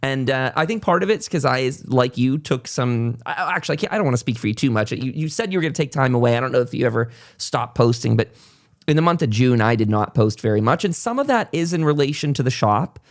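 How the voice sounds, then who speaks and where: A man's voice: mid-range voice, expressive, fast. A man hosting a casual interview podcast, talking at length in a reflective, conversational way. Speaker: podcast host.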